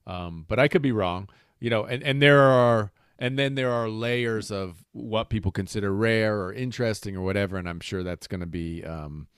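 A man's voice: lowish voice, moderate pace, few pauses, clean tone, no room sound, clean studio sound. A man hosting a casual interview, chatting informally and with enthusiasm. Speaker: interviewer, host.

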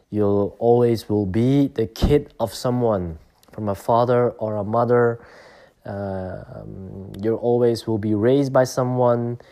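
Guy in his 30s from belgium vlogging, thoughtful, lots of ums and restarts, lowish voice. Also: a little mellow. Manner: reflective, hesitant, many fillers and restarts. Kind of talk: personal vlog talk.

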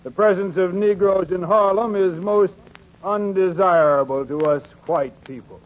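A man's voice: high-pitched, a little slow. An actor playing a haughty, hostile real estate spokesman, pompously declaiming in a 1940s radio drama. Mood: contemptuous. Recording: phone-like scratchy 1940s radio recording.

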